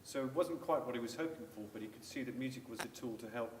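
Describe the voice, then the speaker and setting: A man who works with patients at a hospital, explaining clinical examples on a panel in a measured way. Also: medium pitch. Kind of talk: panel discussion. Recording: echoey room, distant mic, faint noise.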